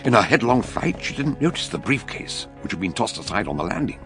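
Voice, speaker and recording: An actor playing the narrator of a detective radio drama, deliberate and suspenseful. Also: male voice, on the low side, very animated, fairly bright tone, faint music behind.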